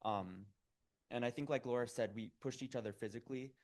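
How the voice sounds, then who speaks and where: A man's voice: mid-range voice, a little fast, a little mellow. A seventeen-year-old young man answering questions informally at a public meeting, speaking in a hesitant, youthful, casual way. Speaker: student program participant answering questions.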